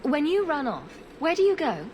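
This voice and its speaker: Higher-pitched, calm, questioning heroine. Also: female voice, normal speed, slight background noise. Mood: concerned. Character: diplomatic heroine, adult woman, calm, compassionate, questioning. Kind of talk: video game cutscene acting.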